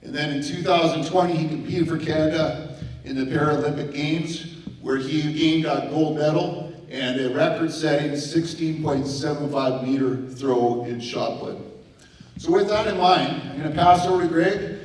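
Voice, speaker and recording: A man's voice: on the high side, moderate pace, darkish tone, a little hiss or hum. A man presenting at a school event, reading a formal, scripted introduction of the next speaker. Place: large school hall. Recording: echoey hall recorded from far off.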